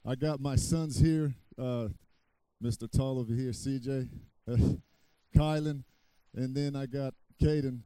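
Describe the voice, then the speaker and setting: A middle-aged man from Brazil, a guest pastor preaching a sermon, halting with restarts, then loud and emphatic. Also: medium pitch, fairly even intonation, smooth, dry recording.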